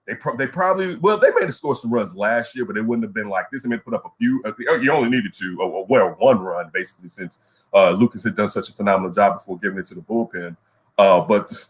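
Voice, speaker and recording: A man co-hosting a casual sports talk show, ranting in an animated way and repeating words when he gets worked up. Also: on the low side. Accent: Chicago. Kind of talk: casual radio show rant.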